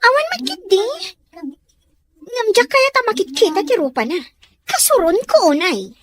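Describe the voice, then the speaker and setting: A woman's voice: extremely high pitch, very animated, normal speed, fairly bright tone, heavily acted. A woman playing a spoiled, resentful schoolgirl in an audio drama, petulant and snappish. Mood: petulant, annoyed. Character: spoiled, haughty, resentful schoolgirl daughter Dorina. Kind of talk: Ilocano audio drama acting.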